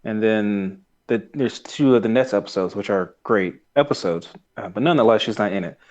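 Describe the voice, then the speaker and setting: Lowish male podcaster, dull lo-fi sound. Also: moderate pace, darkish tone. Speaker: podcast host. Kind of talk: casual podcast review chat.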